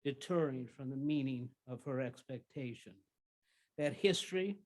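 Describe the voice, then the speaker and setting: A man at a ceremony podium giving a formal address in a measured, deliberate way, slowing down for emphasis before introducing the next speaker. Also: mid-range voice.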